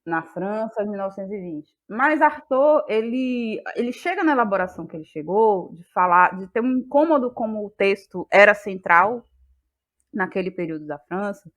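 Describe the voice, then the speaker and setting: A woman talks as an interview guest. Her voice sits at a medium pitch, and she explains reflectively, searching for words and repeating key phrases for emphasis. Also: expressive, moderate pace, a bit bright. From Bahia, Brazil. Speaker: interview guest, playwright and actress.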